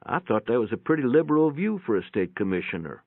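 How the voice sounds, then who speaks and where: A man's voice: medium pitch, big pitch swings, quick pace, vocal fry, no echo, phone-quality sound. A man giving a folksy, plainspoken dramatic reading of a first-person story, with a radio-like sound. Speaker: narrator, reader. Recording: band-limited, radio-like sound.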